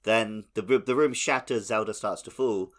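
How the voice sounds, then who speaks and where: A man with a somewhat low-pitched voice speaks as a podcast co-host. He talks in an informal, conversational style, as in a chat about games. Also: normal speed. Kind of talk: casual gaming podcast chat.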